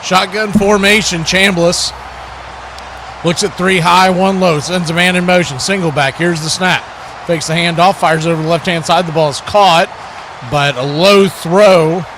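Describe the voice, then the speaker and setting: A man's voice: high-pitched, fairly even intonation, a little slow, noisy background. A man hosting a live stream with play-by-play sports commentary and chat to listeners.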